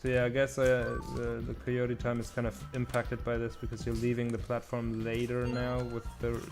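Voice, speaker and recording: A man's voice: mid-range voice, monotone, moderate pace. A man streaming game development, chatting casually and thinking aloud in loose, informal phrasing. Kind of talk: casual chat while working. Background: music behind the voice.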